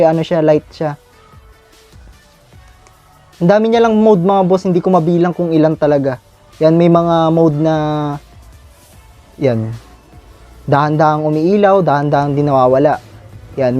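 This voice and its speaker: A man chatting casually to viewers in a halting, informal product review. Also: on the high side, dark tone, muffled recording. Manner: informal, halting, repeats catchphrases to address viewers. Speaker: vlogger reviewing gear.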